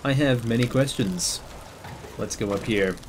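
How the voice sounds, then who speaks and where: A man's voice: medium pitch, measured pace, background noise. A man giving casual, slangy commentary as he plays a video game, joking and muttering to himself. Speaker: gamer, video game player. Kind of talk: casual let's play commentary. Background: video game sound.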